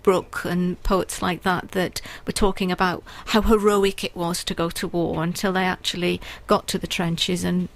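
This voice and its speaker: A woman's voice: fairly low pitch, flat delivery, moderate pace, vocal fry, hardly any pauses, poor audio. A woman guest on a radio interview, talking earnestly and thoughtfully about poetry with frequent ums. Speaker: guest poet and writer.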